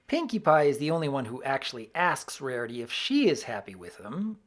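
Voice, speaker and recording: A man's voice: medium pitch, very animated, a little fast, a bit bright. A man giving semi-scripted review commentary as the host of a video.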